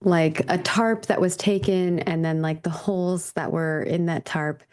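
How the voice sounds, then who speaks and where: A woman playing a tabletop game, casually describing her character in a halting way as she searches for words. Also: fairly low pitch, fairly even intonation, a little mellow.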